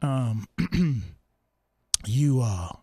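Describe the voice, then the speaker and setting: A man hosting a casual chat, playful and quick to laugh and interject. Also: mid-range voice, lively intonation, dark tone, husky, dry recording, clean studio sound. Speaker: talk show host.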